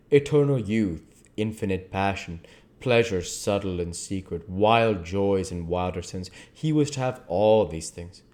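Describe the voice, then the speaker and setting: A man with a fairly low voice reads literary prose aloud. His narration is deliberate, with occasional stumbles over the written words. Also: darkish tone. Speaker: audiobook reader.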